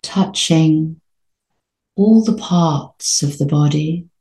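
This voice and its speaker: A woman with a low, mellow voice leads a sleep meditation. She speaks very slowly and soothingly, leaving long gaps between short phrases. The sound is roomy, with a noticeable echo around her gentle delivery. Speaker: meditation guide.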